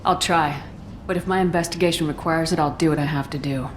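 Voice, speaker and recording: An actress playing a tough, terse military commander in a video game, matter-of-fact and dry. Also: female voice, deep voice, steady tone, fast, a little hiss or hum, lightly acted. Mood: firm.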